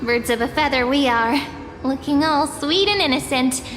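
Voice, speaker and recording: A woman voicing a gentle, sweet, mysterious young guardian in an anime game, soft-spoken, measured and sincere. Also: high-pitched. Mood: touched, supportive. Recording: echoey long reverb, faint music behind.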